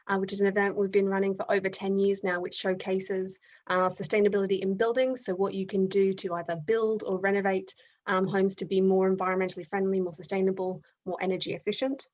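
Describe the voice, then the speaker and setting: An Australian woman hosts an online event over a video call, with many ums and self-corrections as she goes. Her voice sits mid-pitch. The audio is a little dull and lo-fi. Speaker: event host.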